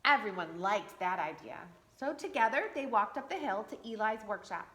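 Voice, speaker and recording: A woman reading a picture book aloud to children at church, expressive with playful asides. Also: medium pitch. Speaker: church storyteller.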